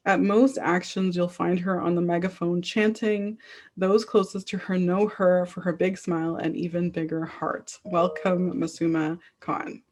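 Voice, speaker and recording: A woman's voice: fairly low pitch, unhurried. A woman moderating an online event over a video call, steadily reading out the next speaker's bio. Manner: steady, reads speaker bios aloud.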